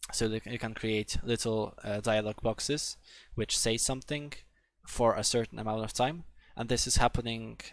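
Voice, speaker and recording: A man's voice: lowish voice, no echo. A young man with a Polish accent, giving a live coding tutorial in a hesitant, filler-heavy way with frequent restarts. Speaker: coding instructor.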